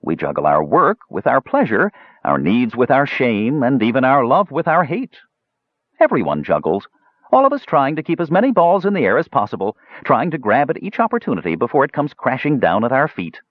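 A man voicing a playful, fast-talking dwarf juggler with theatrical, wheedling patter for an audiobook. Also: medium pitch, huge pitch swings, no echo, lo-fi sound, voice pushed up. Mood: philosophical, showy. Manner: rambling, theatrical salesman patter. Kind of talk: audiobook character voice.

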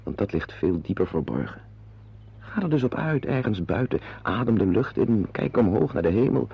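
Wry, weary playwright-narrator. Deep, creaky, intimate and digressive, on a dull radio recording. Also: male voice, very animated, moderate pace, heavily acted. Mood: musing. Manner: confiding, dry, digressive. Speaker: narrator-protagonist of a radio play. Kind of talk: intimate first-person radio play narration.

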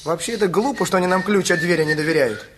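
A man's voice: high voice, fast talker, a bit bright, slight background noise. An actor playing a playful, simple teenage boy just out of school in a radio play, often slipping into sing-song. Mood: eager.

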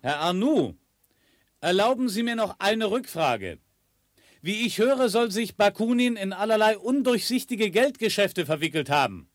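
An actor playing a persistent, polite questioner in a period radio drama, formal and insistent. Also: male voice, high voice, very animated, a little slow. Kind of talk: 1970s German radio drama acting.

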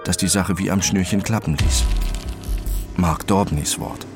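An actor playing an introspective, obsessive man who narrates his own story in a radio drama, deliberate in his delivery. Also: low-pitched, fairly even intonation, music in the background. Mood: reflective.

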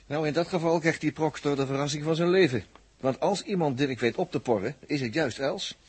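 A man with a Netherlands Dutch accent acting a shrewd, confident captive spaceman in a 1960s radio drama, speaking deliberately and with emphasis. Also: fairly high pitch, husky. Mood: confident, eager. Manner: deliberate, emphatic, with repeated phrases. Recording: vintage 1960s radio recording.

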